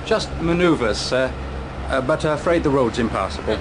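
An actor playing a stolid, deferential village constable, hesitant and folksy. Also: male voice, medium pitch, animated, moderate pace, lightly acted. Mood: stolid, apologetic. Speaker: actor in a radio drama. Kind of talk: British radio drama acting. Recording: noisy roadside with vehicles.

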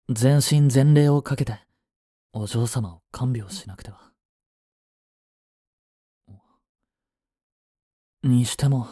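A man's voice: medium pitch, warm tone, breathy edge, no room sound, clean studio sound. A man playing a devoted, jealous young butler in a voice drama, with formal, clipped, haughty speech. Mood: self-reproachful. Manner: formal, polite, clipped, turning contemptuous toward a rival. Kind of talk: Japanese voice drama acting.

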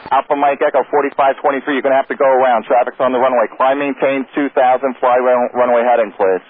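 Male controller, rapid directive delivery, clipped phrasing, dull lo-fi radio sound. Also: medium pitch, steady tone. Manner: brisk, procedural, directive. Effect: radio transmission. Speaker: air traffic controller. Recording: muffled air traffic radio recording.

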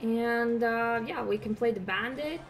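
A woman's voice: on the high side, a little slow, soft background music. A young woman from Croatia with a Croatian accent, giving upbeat, chatty commentary on her own mobile gameplay from a desk at home. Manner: upbeat, chatty, hums along while playing. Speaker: gaming streamer.

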